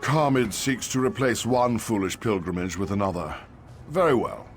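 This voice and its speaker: A weary old man, voiced by an actor in a fantasy game, grave and regretful. His low voice moves in lively swings, with clear music behind it. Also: moderate pace, close to an everyday voice. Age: older. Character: weary, cautious old male pilgrimage survivor, regretful.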